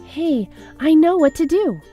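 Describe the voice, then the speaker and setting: A woman voicing an earnest, excitable little schoolgirl in a children's storybook, plaintive and eager. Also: sits high, unhurried, faint music behind, pitched above the performer's own voice. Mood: excited.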